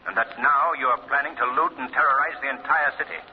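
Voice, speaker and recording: Casting: a man playing a sinister, mocking unseen avenger, low measured voice, on a thin, hissy 1940s radio recording. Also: phone-quality sound, natural voice. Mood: menacing. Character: invisible mocking male avenger, calm and menacing. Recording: scratchy 1940s radio broadcast.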